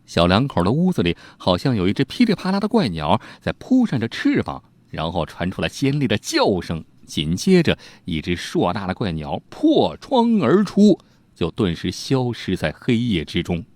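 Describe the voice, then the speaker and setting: A man's voice: on the low side, a little mellow, no room sound. A man telling an old crime tale in a dramatic, conversational way, with theatrical suspense and folksy asides. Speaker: storyteller.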